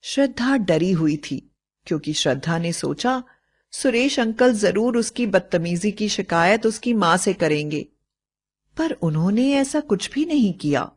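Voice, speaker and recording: Slow-paced woman narrating a story. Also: mid-range voice, expressive. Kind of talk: scripted Hindi moral-story narration.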